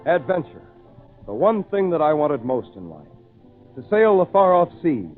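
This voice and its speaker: Eager young adventurer, tinny old radio. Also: male voice, on the high side, very animated, normal speed, over loud music. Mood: yearning. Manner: earnest, theatrical. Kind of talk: old-time radio drama acting and narration.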